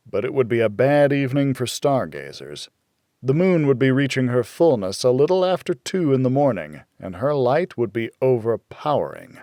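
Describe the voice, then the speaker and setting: A male audiobook narrator with a middle-pitched voice tells a first-person noir thriller. His delivery is measured and dramatic. The sound is very dry, like a recording booth. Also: darkish tone, no echo.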